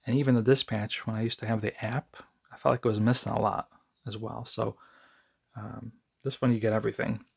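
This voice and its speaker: Husky American man, gravelly fry, mid-pitched, casual unscripted reviewer, conversational, halting delivery. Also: little pitch movement. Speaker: amateur product reviewer.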